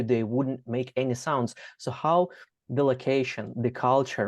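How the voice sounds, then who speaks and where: A man's voice: mid-range voice, a little mellow, no echo. Man asking rambling questions, dry sound. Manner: loose, rambling questions padded with "like" and "you know". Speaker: interviewer. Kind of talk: casual conversational questions.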